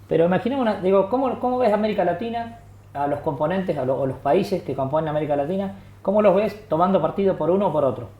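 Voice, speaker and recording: A man with an Argentine accent hosting a TV political talk, who jumps in with quick questions and remarks. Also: high-pitched. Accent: Argentine Spanish. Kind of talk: TV studio political discussion. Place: TV studio. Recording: echoey, slightly dull studio audio.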